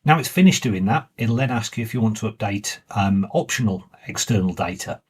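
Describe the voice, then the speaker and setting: A man giving a plain, informal step-by-step walkthrough as the presenter of a software tutorial. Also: lowish voice, lively intonation, fairly quick, husky. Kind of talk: step-by-step screen walkthrough narration.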